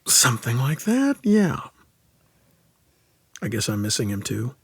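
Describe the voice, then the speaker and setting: A man's voice: medium pitch, wildly swooping pitch, unhurried, gravelly, breathy edge, dry recording, heavily acted. An actor playing a sardonic, exasperated older man in an audio comedy drama, grumbling with an American accent. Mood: subdued, wry. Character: gruff, exasperated old-school older man teaching a kid.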